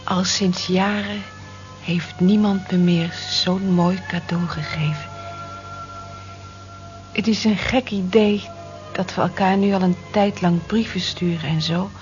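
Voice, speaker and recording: An actress in a radio play as a lonely, gentle working-class housewife in her forties, speaking in a soft, confiding, reflective way. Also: mid-range voice, close to an everyday voice. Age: 40s. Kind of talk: naturalistic radio play acting, intimate monologue. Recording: muffled old radio drama recording.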